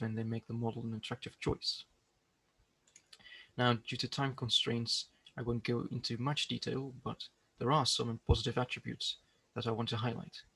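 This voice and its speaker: A man giving a prepared research presentation in a measured, explanatory way. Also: mid-range voice, creaky, a bit bright, breathy edge.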